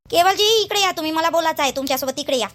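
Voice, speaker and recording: A woman's voice: very high-pitched, rapid-fire, fairly bright tone. A woman playing an aggrieved, stubborn young village wife in a family cartoon, protesting and questioning in a Vidarbha Marathi accent. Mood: defiant. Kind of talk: family drama cartoon dialogue.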